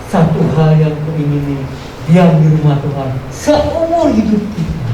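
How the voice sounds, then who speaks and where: A woman's voice: low voice, big pitch swings, unhurried. A woman preaches a sermon, exhorting with rhetorical questions and repeated phrases. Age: 70s. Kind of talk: sermon to a congregation. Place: large echoey room at a live church service. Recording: very echoey, distant, noisy live church recording.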